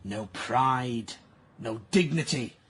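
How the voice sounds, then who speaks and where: Pompous husky workhouse doctor. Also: male voice, mid-range voice, slow pace, a bit bright, exaggerated voice. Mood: scornful. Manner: pompous, clipped, formal. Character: pompous, contemptuous workhouse doctor, stern and moralising. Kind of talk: period radio drama acting.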